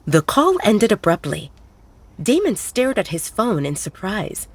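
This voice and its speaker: A woman's voice: medium pitch, sing-song, measured pace, breathy edge. A woman narrating a werewolf romance audio drama in a scripted storytelling style.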